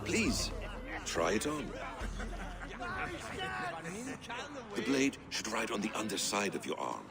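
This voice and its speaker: Low-voiced, measured assassin mentor. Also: male voice, wildly swooping pitch, vocal fry, a loud crowd, heavily acted. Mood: measured, instructive. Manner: formal, instructive, philosophical. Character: male mentor of a secret assassin brotherhood, measured and wise. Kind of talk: video game cutscene acting.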